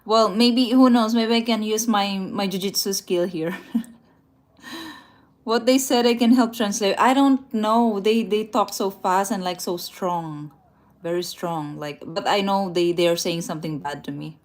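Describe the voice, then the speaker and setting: A woman's voice: mid-range voice, measured pace. A woman from the Philippines, chatting casually on a travel livestream, laughing often and restarting her sentences. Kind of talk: casual live chat, reading viewer comments aloud. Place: indoors, a rented room at night.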